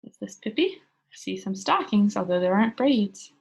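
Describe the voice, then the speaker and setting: A woman's voice: mid-range voice, animated, moderate pace, vocal fry, breathy edge. A woman reading a children's book aloud as a storyteller, talking to young listeners as she goes. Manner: engaging listeners with simple questions.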